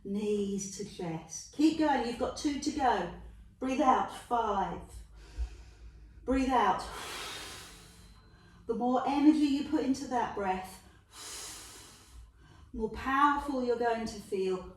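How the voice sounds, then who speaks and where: Woman, London accent, middle-aged, Pilates instructor, high voice, very slow counting, echoey room, mic at a distance. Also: expressive. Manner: encouraging, counts reps aloud with jokey asides. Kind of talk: guided workout instruction.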